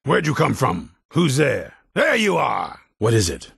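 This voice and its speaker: An older man playing a grim, ruthless vampire hunter commander in a video game, stern and blunt, with a very deep, gravelly, raspy voice. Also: wildly swooping pitch, measured pace, a bit bright, dry recording, clean studio sound, heavily acted. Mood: suspicious, alert, then furious battle shouting. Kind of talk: video game character dialogue.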